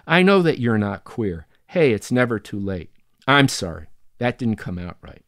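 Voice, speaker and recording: A man's voice: lowish voice, big pitch swings, moderate pace, fairly bright tone, dry recording, clean studio sound. A man reading an audiobook, voicing a wild, ironic woman who teases and speaks bluntly. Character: wild, ironic grown woman, sister-like cousin.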